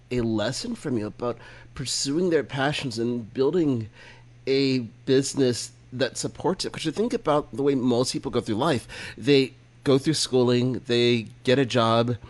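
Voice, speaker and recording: A man hosting a business podcast, interviewing a guest in an enthusiastic, conversational way. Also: mid-range voice. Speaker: podcast host. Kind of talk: casual interview.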